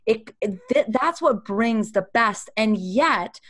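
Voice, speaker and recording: A woman's voice: fairly high pitch, animated, no room sound, clean studio sound. Earnest woman from Brazil with emphatic delivery. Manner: earnest, emphatic, quick bursts of intensity. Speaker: guest, life coach. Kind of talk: casual podcast conversation.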